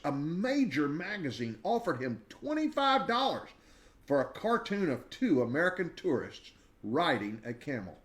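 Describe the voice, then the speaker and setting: A man reading a children's biography aloud in an expressive storybook style, with dramatic emphasis and deliberate pauses. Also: on the high side.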